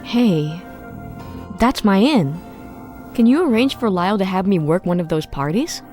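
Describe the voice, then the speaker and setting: A woman's voice: medium pitch, expressive, a little slow, a little mellow, soft background music, natural voice. A woman playing a determined, wry man on the run in a fan dub of a film drama. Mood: urgent, determined.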